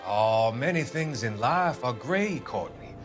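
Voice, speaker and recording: An actor playing a smooth, self-assured doctor in a game drama, measured and condescending. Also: male voice, medium pitch, lively intonation. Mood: measured, patronizing. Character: smooth, patronizing male doctor, calmly evasive and self-assured. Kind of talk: dramatic game cutscene acting. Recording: music under the voice. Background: music.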